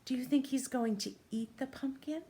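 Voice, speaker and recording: A woman doing an interactive read-aloud for young children, gently asking questions and pausing for answers. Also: high-pitched. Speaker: teacher reading a picture book to young children. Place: small room at home, indoors.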